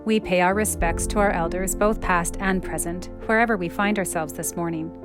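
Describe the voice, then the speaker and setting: A woman reading a scripted church welcome and reflection in a measured, reverent way. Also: fairly low pitch, fairly even intonation, music in the background. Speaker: worship leader.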